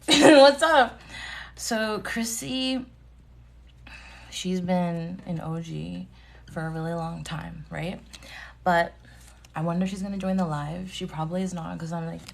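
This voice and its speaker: A woman's voice: medium pitch, vocal fry, a bit bright. A woman in her thirties hosting a casual live tarot stream, chatty, greeting viewers by name and laughing often. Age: 30s.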